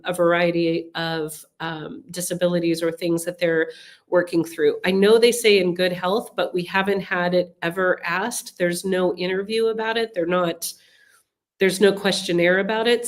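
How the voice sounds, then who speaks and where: Woman with a cold hosting a webinar. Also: on the low side. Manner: chatty and explanatory, with frequent ums and restarts. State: has a cold, sniffling. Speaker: relocation advisor hosting a Q&A. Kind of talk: live webinar Q&A, answering viewer questions. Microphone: computer mic on a webinar call.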